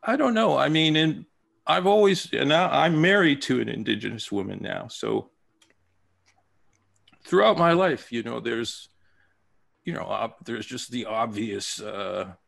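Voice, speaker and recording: A man in his fifties from Japan, a playwright talking thoughtfully over a video call, with many pauses and fillers. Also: medium pitch, gravelly, fairly bright tone. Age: 50s. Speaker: playwright guest. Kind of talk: casual interview.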